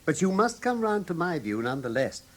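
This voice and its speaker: An English actor playing a brilliant, self-assured detective in a classic radio drama, with precise, teasing, lecturing diction. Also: male voice, fairly high pitch, very animated, fairly quick.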